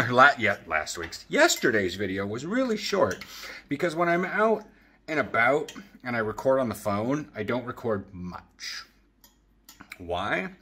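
Male vlogger, thirties, bright forward voice, loose rambling chat with joking asides, handheld camera at home. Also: medium pitch, sing-song, measured pace, slight background noise. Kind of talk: casual chatty vlog. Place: apartment living room and open kitchen. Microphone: handheld camera at arm's length.

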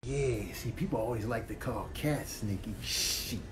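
A man voicing a sneaky, boastful cat in a comic animal voiceover, speaking in a hushed, secretive way. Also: mid-range voice, a little slow, crowd noise, voice pushed down, natural voice. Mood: sly. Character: sly, sneaky cat bragging of stealth.

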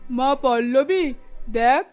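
A high-pitched, nagging mother from a cartoon. She is strict and worried, admonishing as she talks, over faint background music. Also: slow pace, darkish tone. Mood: cautioning. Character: strict, scolding, worried middle-aged mother. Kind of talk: Bengali cartoon voice acting.

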